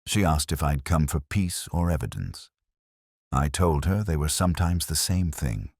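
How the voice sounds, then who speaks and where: A man narrating a first-person detective story in a measured, literary, brooding manner, with a husky voice. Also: a bass voice, lively intonation, a little mellow, no room sound, clean studio sound.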